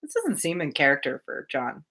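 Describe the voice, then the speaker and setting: Female live book reader: bright tone, lively varied intonation, expressive narration, informal host asides. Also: a bass voice, a little fast, gravelly, slightly rough. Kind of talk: expressive live novel reading with casual asides.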